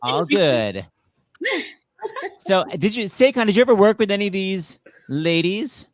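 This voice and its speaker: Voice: medium pitch, sing-song, a little slow. A playful host introducing and teasing guests on a video call show. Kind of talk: casual show hosting.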